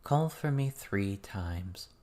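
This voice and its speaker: Guy doing a quiet eerie spirit lady, calm and slow, very polite, storybook style. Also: lowish voice. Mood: measured.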